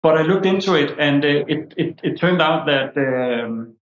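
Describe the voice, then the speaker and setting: Guest: Danish-accented man, 40s, halting academic storyteller; roomy, muffled sound. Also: fairly high pitch, lively intonation. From Denmark. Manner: hesitant, many fillers and restarts. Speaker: guest, anthropology professor. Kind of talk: interview answers, storytelling.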